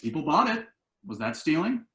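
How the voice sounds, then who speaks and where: An actor playing an earnest, anxious adult son in a conversational comedy play reading, explaining things in an echoey room. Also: male voice, fairly high pitch, very animated, moderate pace, a bit bright. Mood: anxious, pleading. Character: earnest adult son, anxious and quirky about house rules.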